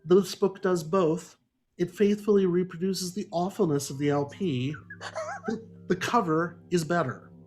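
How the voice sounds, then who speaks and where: Guy hosting a book podcast, kinda high voice, wry and very articulate, really hits his words. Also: a little slow, a little mellow, a little hiss or hum. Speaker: podcast host and moderator; English composition teacher. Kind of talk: book review discussion, partly reading prepared notes.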